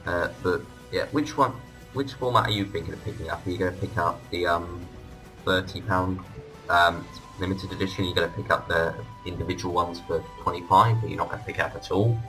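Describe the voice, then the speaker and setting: A man's voice: low voice, brisk, pushed and bright, soft background music. A man hosting a casual fan discussion, with hesitant, hedging phrasing. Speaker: podcast host. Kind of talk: casual fan discussion of audio drama releases.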